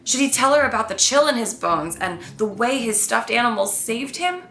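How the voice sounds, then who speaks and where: A woman, a children's author, reading and presenting her own storybook in an expressive read-aloud style. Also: a little high, normal speed, a little hiss or hum. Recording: roomy echo, faint music behind.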